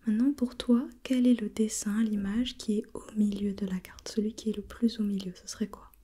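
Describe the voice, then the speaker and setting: A woman whispering breathily in an ASMR role play, gently putting questions step by step as an examiner and softly repeating herself. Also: mid-range voice, steady tone, fairly quick, husky. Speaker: examiner in a role-played visual test.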